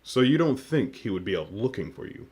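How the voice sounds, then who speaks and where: An actor playing a cold, controlling leader of a covert team, formal and measured, in an audio drama. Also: male voice, medium pitch, big pitch swings, a little mellow, slightly rough. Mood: coolly probing.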